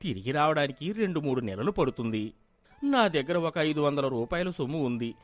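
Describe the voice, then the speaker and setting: A man's voice: a little high, lively intonation, moderate pace, lightly acted. A man playing an earnest, trusting, hardworking villager who speaks respectfully and plainly in a moral story.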